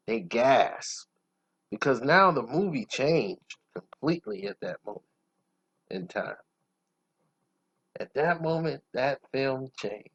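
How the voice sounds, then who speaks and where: A man reviewing a film casually in a self-assured, emphatic way, breaking his phrases up with dramatic pauses. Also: medium pitch, muffled recording. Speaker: movie reviewer.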